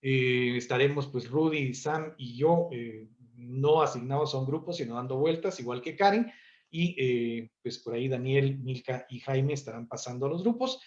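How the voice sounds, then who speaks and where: A man's voice: medium pitch, normal speed, a little mellow, muffled recording. Polite male meeting facilitator. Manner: polite, filler-heavy, directing participants. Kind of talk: moderating an online consultation.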